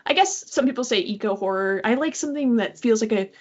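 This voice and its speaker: A woman's voice: a little high, animated, quick pace. A woman interviewing guests, talking in a reflective, conversational way. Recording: dull, lo-fi audio.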